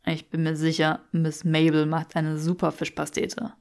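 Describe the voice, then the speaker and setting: A woman's voice: sits low, monotone, moderate pace, darkish tone. A woman voicing a smug, teasing young aristocratic man with a drawling, mock-serious manner in an audiobook.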